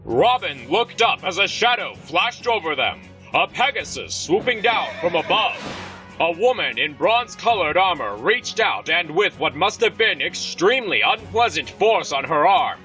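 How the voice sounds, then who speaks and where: A man reading scripted audio drama narration in a dramatic, expressive storytelling manner. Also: sits high, recorded from a distance, exaggerated voice, poor audio. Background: music.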